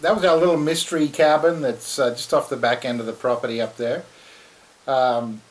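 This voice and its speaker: A middle-aged Australian man giving a rambling, relaxed walking tour outdoors in the woods, full of fillers and restarts. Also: medium pitch, moderate pace. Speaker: channel host. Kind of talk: casual walking tour vlog. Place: outdoors in rural woodland.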